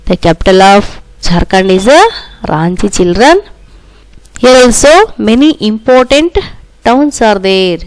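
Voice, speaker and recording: Slow-paced South Indian woman teacher. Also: medium pitch, animated, no echo. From Karnataka, India. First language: Kannada. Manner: repetitive, instructive, often addressing listeners directly with tag questions. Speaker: primary school social studies teacher. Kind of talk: school lesson for children.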